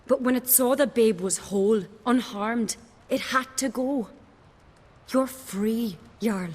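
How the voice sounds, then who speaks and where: A woman playing a grave, composed island clanswoman in a fantasy video game, speaking in a measured, solemn way. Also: a little high, a little mellow.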